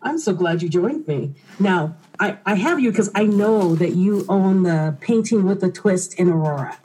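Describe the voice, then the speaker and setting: A woman's voice: medium pitch, lively intonation, normal speed, mellow, husky, poor audio. A woman hosting a radio interview, asking friendly and encouraging questions. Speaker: radio show host.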